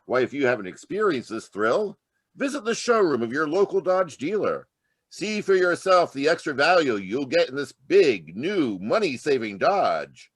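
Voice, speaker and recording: A man's voice: fairly high pitch, sing-song, normal speed, slightly rough, dry recording. A man playing an enthusiastic 1930s radio car salesman, chummy and emphatic in old commercial style. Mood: selling hard.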